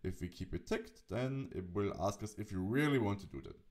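A man narrating an instructional software tutorial, explaining steps as the presenter. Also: fairly low pitch, animated, fairly quick.

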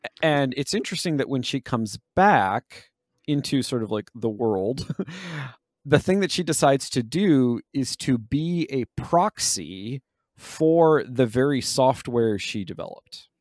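A man co-hosting a podcast, explaining things casually in halting phrases that he often rewords. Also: mid-range voice, expressive, no echo, studio quality. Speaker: podcast co-host. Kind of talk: casual discussion of a video game.

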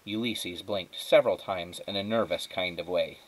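Male storybook reader, teacher reading to children, fairly low voice, lightly performed dialogue. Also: normal speed, low-quality recording. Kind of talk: children's storybook read-aloud.

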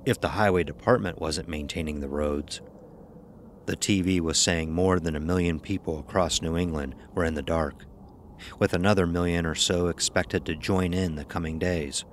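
Very deep-voiced male horror story narrator. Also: fairly even intonation, moderate pace, slight background noise. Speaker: narrator of horror audio drama.

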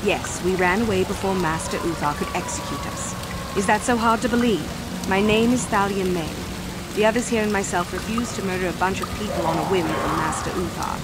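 A woman's voice: medium pitch, a little fast, lightly acted. Woman playing a wary young runaway, noisy surroundings. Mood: guarded. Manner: earnest, guarded. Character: wary, defiant young female runaway student. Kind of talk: video game character acting.

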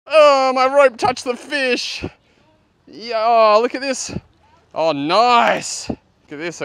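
A man in his thirties with an Australian accent, chatting casually and excitably as he hosts an outdoor vlog. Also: high-pitched, clear, resonant tone. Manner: excitable, exclaiming. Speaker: host of a magnet fishing vlog. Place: outdoors beside a canal. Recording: outdoor noise, traffic and ambience.

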